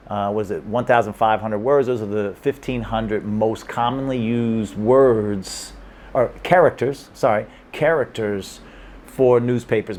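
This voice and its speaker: A man's voice: fairly low pitch, a little mellow, a little hiss or hum. A man giving casual language-learning advice to camera, rambling and repeating himself with restarts and small laughs. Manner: rambling and repetitive, with many restarts and chuckles. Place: room in Hong Kong.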